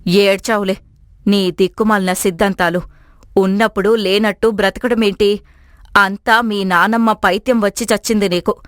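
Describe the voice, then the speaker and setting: A woman voicing an exasperated, sarcastic middle-aged mother in an animated moral story. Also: mid-range voice, normal speed, no echo, clean studio sound, close to an everyday voice.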